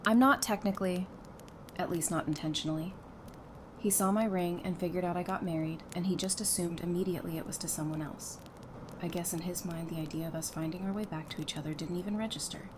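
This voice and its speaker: An affectionate, self-assured young wife played by a woman in an audio drama, speaking casually and playfully. Her voice sits a little low, and the recording carries clear noise. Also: natural voice.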